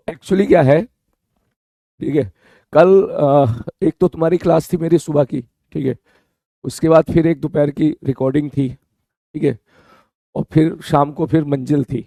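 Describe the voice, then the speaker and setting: A man teaching an online class with a sore, hoarse throat, chatting casually with his students and often checking they follow. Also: fairly high pitch, warm tone, no echo. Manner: chatty, repeats "theek hai" often, calls students beta.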